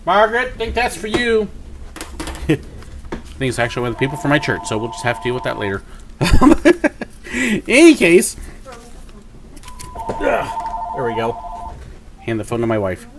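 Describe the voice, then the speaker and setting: Man in his 40s, upbeat live-stream host, lively up-and-down delivery, fairly high voice, slight sniffle. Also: a little slow, pauses often, noisy background. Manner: chatty, upbeat, rambling with many fillers. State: sniffly from a cold. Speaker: YouTube host. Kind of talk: live Q&A and mail call. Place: home office with shelves of collectibles.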